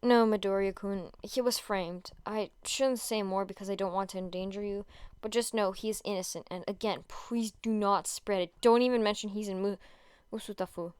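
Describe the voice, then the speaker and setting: A woman voicing a gentle, fretful male shop owner who speaks in an earnest, worried way. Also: mid-range voice, steady tone, moderate pace, clean tone, no echo, clean studio sound, lightly acted. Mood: serious. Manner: fretting, soft, earnest.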